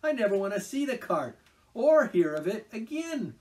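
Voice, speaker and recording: A man's voice: high voice, big pitch swings, moderate pace, darkish tone, distant mic, voice pushed up. A man voicing a rapturous, boastful male toad lost in a dreamy obsession, gushing and swooping through his lines. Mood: enraptured. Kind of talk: storybook reading aloud.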